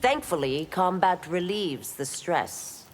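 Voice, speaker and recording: Low, stern warrior constable. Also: female voice, animated, slow. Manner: formal, curt. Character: stern, distrustful female law enforcer and warrior. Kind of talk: video game character dialogue. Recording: clear background noise and clicks.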